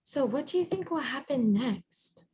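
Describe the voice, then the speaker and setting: A woman reading a storybook aloud as a teacher, encouraging and pausing to guide with questions. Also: sits high, animated, a little mellow, husky. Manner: encouraging, instructive.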